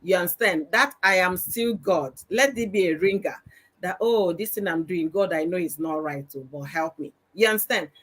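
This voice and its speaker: A woman's voice: on the low side, moderate pace, fairly bright tone. Nigerian woman hosting a preachy chat. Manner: preachy, asks "you understand?" often. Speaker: host. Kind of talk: casual panel chat.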